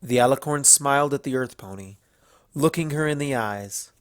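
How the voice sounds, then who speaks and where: A man's voice: mid-range voice, normal speed, no echo, studio quality. A man giving a scripted storybook narration as the narrator.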